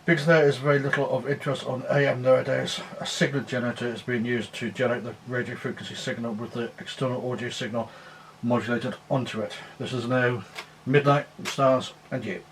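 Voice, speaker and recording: A man presenting a technical demonstration, explaining in a measured, plain way. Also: medium pitch, fairly even intonation, a little hiss or hum, rough recording. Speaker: presenter demonstrating an antique radio.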